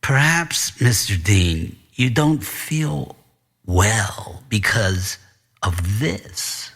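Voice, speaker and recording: A man voicing a sinister, evasive male principal in a noir audiobook, measured and condescending. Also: fairly low pitch, lively intonation, creaky, a bit bright, slightly rough, heavily acted. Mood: coolly menacing.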